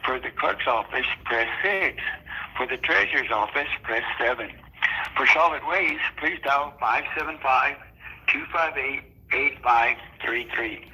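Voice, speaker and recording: A man reading a recorded phone menu greeting in a measured, formal way. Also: medium pitch, bright, forceful tone, slight background noise, rough recording.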